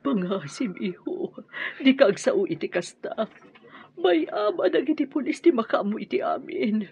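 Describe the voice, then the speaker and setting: A woman with an Ilocano accent playing a grieving, pleading widowed mother in a radio drama, tearful and drawn-out. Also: on the high side, big pitch swings, gravelly, darkish tone, a little hiss or hum. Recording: dull, muffled radio drama audio.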